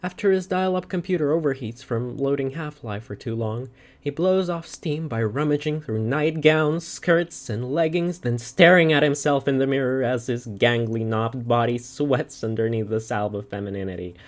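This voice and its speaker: A woman giving a dramatic scripted narration of a comic, with literary, deliberate delivery. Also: rumbling low.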